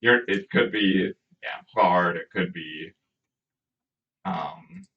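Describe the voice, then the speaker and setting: Low-voiced game master, far from mic. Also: takes it slowly, dull audio. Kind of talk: narrating a tabletop role-playing game. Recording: distant microphone.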